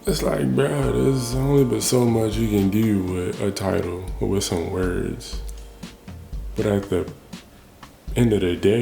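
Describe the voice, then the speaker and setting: A man's voice: low-pitched, creaky, mellow, echoey room. A man giving a casual, reflective monologue in an informal, rambling way, repeating phrases for emphasis. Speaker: host of a personal talk show.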